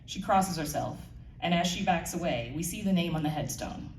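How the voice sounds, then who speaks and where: A woman's voice: on the low side, lively intonation, moderate pace. A woman giving a live scripted narration of her audio drama, heard in a roomy space away from the mic. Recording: echoey room, distant mic, faint noise.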